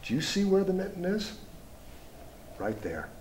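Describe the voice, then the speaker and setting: A man reads a children's picture book aloud in an expressive storytime style, pausing to point things out and ask young listeners questions. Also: high-pitched, a little hiss or hum. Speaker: storybook reader, school superintendent.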